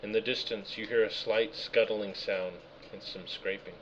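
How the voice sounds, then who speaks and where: A man's voice: on the low side, fairly even intonation, normal speed, fairly bright tone. A man running a tabletop game, reading out room descriptions and answering players patiently. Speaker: game master. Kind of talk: narrating a tabletop game session. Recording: muffled voice call with background noise.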